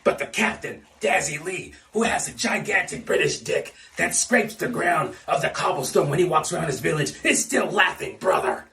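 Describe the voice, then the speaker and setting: A man's voice: on the high side, animated, moderate pace, gravelly, few pauses, far from the mic, crowd noise. A man cutting a parody wrestling promo, all bluster and bravado, tacking "brother" and "dude" onto his lines. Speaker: fan wrestling promo performer. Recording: roomy echo, off-mic.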